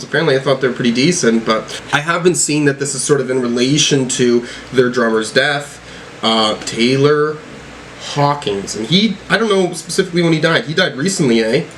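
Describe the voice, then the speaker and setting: A man giving casual, off-the-cuff reaction commentary as a music reviewer, talking in a halting, rambling, slangy way with the odd swear word. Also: medium pitch, fairly bright tone, a little hiss or hum.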